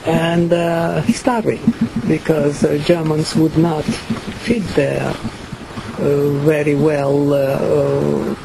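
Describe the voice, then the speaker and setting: An older woman from Ukraine with a Ukrainian accent, giving slow, halting personal testimony full of fillers and pauses. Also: sits low, flat delivery, gravelly, background noise. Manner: many pauses and fillers, non-native phrasing. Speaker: survivor giving a talk.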